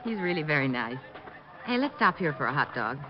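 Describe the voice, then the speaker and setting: Young female carnival performer, friendly, earnest, expressive, dull vintage radio recording. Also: low voice, brisk. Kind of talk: old-time radio acting.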